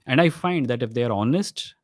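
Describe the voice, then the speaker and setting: A young man with an Indian accent, his first language Odia, hosts a live Q&A and rattles through viewer questions at a rapid clip. His speech is conversational and mixes Hindi phrases into English. The sound is dry, with no room echo. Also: medium pitch, expressive. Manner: quick, conversational, slips into Hindi mid-sentence. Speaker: host of a live stream. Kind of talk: livestream Q&A, reading viewer questions aloud.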